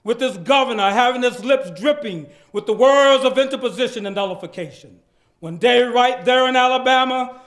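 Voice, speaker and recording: A man's voice: extremely high pitch, a bit bright. A man giving a dramatic reading of a speech in preacher-like civil rights oratory, emphatic and declamatory, drawing out phrases and leaning on repetition. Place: live public event at a heritage center.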